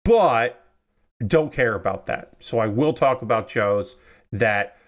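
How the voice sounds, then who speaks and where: A man's voice: mid-range voice, lively intonation, slow. A young man casually chatting with his stream viewers while building model kits, rambling and correcting himself, a little congested after a cold. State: slightly congested, recovering from a cold. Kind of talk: casual livestream chat. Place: his room with window open.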